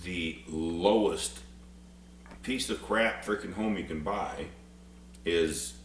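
Deep-voiced blunt man in his forties. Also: slow, slight background noise. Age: 40s. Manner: blunt, swears a lot, rambling. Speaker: podcast co-host. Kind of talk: casual chat.

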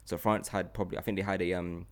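A young man co-hosts a casual chat. He rambles through his stories in an animated way, leaning on everyday slang. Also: sits low, fast talker. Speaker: podcast co-host.

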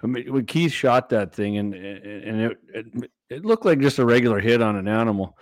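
A hoarse man, hosting a casual podcast and talking haltingly, repeating words as he goes. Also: medium pitch, no room sound, studio quality. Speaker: podcast host.

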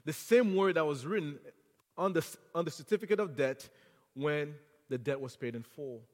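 A man from Haiti who speaks English with a French accent preaches in an earnest, building way. His voice sits fairly high for a man. The church sanctuary leaves a long, lingering reverb behind his words. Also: moderate pace. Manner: earnest, rising to fervent exhortation. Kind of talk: sermon.

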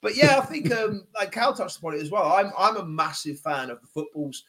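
A man in his twenties who grew up in Wales, telling stories excitedly on a casual podcast, rambling with lots of fillers. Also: sits high, brisk, over a noisy crowd. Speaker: podcast guest. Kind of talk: casual chat.

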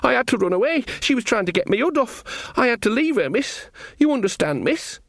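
A man's voice: very high-pitched, big pitch swings, a little fast, gravelly, no room sound, clean studio sound, pitched above the performer's own voice, heavily acted. A man voicing a frightened, simple young castle guard in a storybook, gabbling deferentially in anxious rustic speech. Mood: panicky.

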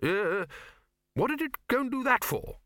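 A man voicing a blunt, blustering male head of a university with a gruff manner, in a storybook reading. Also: very high voice, big pitch swings, measured pace, sharp tone, no echo, studio quality, pitched above the performer's own voice, heavily acted.

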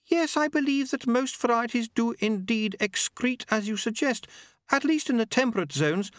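A man's voice: extremely high pitch, animated, moderate pace, dry recording, studio quality, pitched above the performer's own voice. A man voicing a prim, literal-minded aristocratic lady who talks in formal, precise phrases. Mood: earnest.

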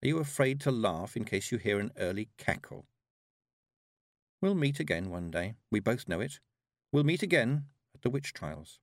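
A man's voice: medium pitch, sing-song, normal speed, no room sound, studio quality. A man voicing a plucky, clever young apprentice witch, an earnest and direct girl in a storybook reading. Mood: bold.

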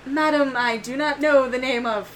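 A woman's voice: sits high, normal speed, a bit bright, slight background noise, pitched above the performer's own voice. A woman voicing a noble male knight who speaks formally and earnestly. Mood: solemn. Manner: courtly, old-fashioned speech.

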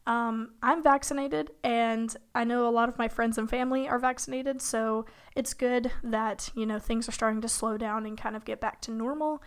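A young woman in her college years hosts a solo podcast, her slightly high voice still a little hoarse from illness. She rambles in a relaxed, chatty way, full of fillers and small laughs. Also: normal speed, hardly any pauses. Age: young adult. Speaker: podcast host. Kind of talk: casual solo podcast talk.

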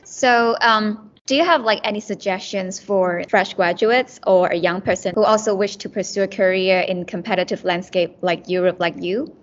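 A woman's voice: mid-range voice, fairly even intonation, normal speed, hardly any pauses. A young woman hosting a student podcast, asking questions with lots of hesitant fillers. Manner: hesitant, uses many fillers like "um" and "like". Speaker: podcast host, student club director. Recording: dull lo-fi with faint music.

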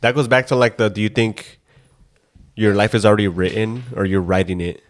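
A man's voice: lowish voice, fairly quick. A young man co-hosting a casual podcast chat, sounding stuffed up after nose surgery, laid-back and swearing freely. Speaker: podcast co-host.

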